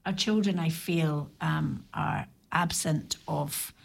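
Middle-aged woman, radio guest: low, gravelly voice, thoughtful and halting. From Ireland or Scotland. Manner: reflective, halting with restarts. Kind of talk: casual radio chat. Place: radio studio.

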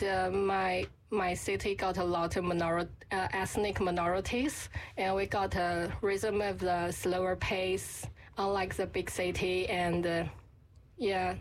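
Hesitant woman from China, faint noise. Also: mid-range voice. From Yunnan, China. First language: Chinese. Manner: hesitant, halting, repeats words. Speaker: guest. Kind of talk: interview answers. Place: radio studio.

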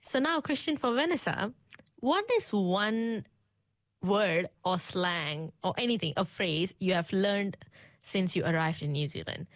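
A young woman in her twenties hosting a casual interview, animated and quick to exclaim. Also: mid-range voice, no echo. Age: 20s.

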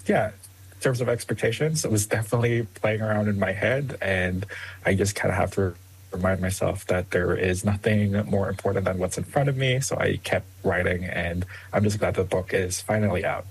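A young man from the Philippines, first language Tagalog, answering interview questions as a guest author, with many ums and soft laughs. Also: sits low, fairly even intonation, moderate pace, a little hiss or hum, rough recording.

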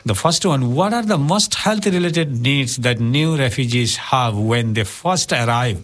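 A man co-hosting a community radio show from a studio. He reads his questions in a formal, slightly halting English. His voice sits at an ordinary pitch. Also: animated, a bit bright.

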